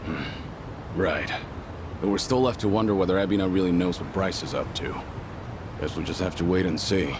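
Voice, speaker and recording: An actor playing a grave but gentle aging yakuza legend in a game cutscene, low and gravelly, speaking with measured restraint. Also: male voice, noisy background, natural voice. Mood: calm, warm. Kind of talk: video game cutscene acting.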